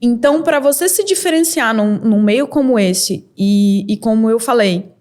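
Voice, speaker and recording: A young woman from Brazil, a model and entrepreneur, telling her story as a podcast guest in a candid, lively way with quick bursts and laughs. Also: a little high. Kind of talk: casual interview storytelling.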